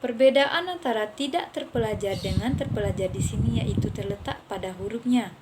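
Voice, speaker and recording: A woman's voice: a little high, a little fast, noisy background. A young woman student reading a class presentation, carefully spelling out letters and example words. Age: young adult.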